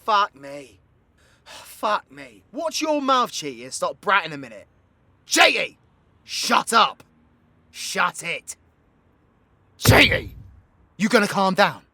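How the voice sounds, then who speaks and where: Man acting out a cocky, bright-voiced British supervillain. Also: mid-range voice, steady tone, normal speed, hardly any pauses, dry recording. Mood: angry, exasperated. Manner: brash, slangy, swearing, teasing. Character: cocky, foul-mouthed British supervillain, protective of his partner. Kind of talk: audio roleplay acting addressed to the listener.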